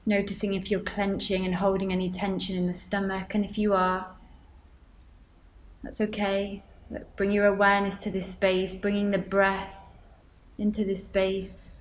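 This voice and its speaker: A woman leading a guided meditation, gently giving instructions with deliberate pauses. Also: mid-range voice, monotone, slight background noise, poor audio.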